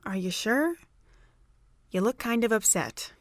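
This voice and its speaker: A woman's voice: medium pitch, animated, unhurried, lightly acted. A young woman playing a chirpy, caring and nosy college student in an amateur audio drama. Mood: concerned.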